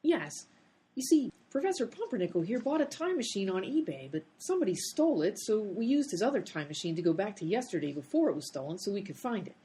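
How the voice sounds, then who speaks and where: A woman's voice: medium pitch, big pitch swings, quick pace, warm tone, close to an everyday voice. A woman playing an exasperated, sarcastic time traveler in a comedy radio play. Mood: explaining.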